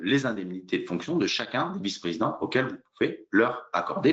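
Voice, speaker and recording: Un homme qui fait une présentation juridique explicative, semi-improvisée, de façon didactique, pas à pas, avec beaucoup d'hésitations. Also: male voice, on the low side, a bit bright, lo-fi sound. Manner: hesitant, didactic, step-by-step with frequent fillers. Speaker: lawyer presenting a legal webinar. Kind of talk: explanatory legal presentation, semi-improvised.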